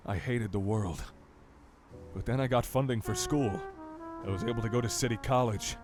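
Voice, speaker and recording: A man playing an earnest, persuasive mayoral candidate in an audio drama, speaking with sincere, measured polish. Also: medium pitch, over music, lightly acted. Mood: wistful.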